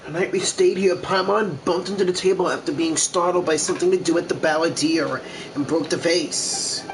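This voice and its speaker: A man's voice: fairly high pitch, fairly even intonation, moderate pace, background noise. A man hosting a video game walkthrough, reading game text aloud and commenting casually. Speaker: gameplay walkthrough host.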